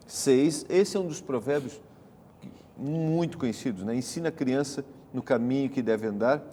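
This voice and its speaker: A man's voice: medium pitch, dark tone, big-room sound, a little hiss or hum. A man with a Brazilian accent hosting a religious study discussion program. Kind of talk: televised Bible study discussion.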